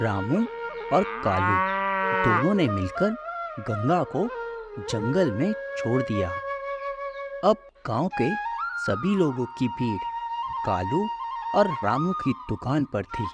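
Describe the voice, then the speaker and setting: A male narrator reads a scripted cartoon moral tale. His pace is slow and his mid-pitched voice rises and falls widely. Loud flute music plays throughout behind him. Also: big pitch swings. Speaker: story narrator.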